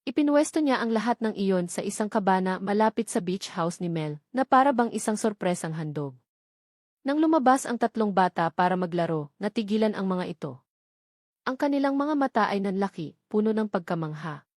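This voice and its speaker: A woman's voice: medium pitch, lively intonation, brisk, a little mellow, no room sound, studio quality. A woman narrating a scripted dramatic story as an audiobook narrator.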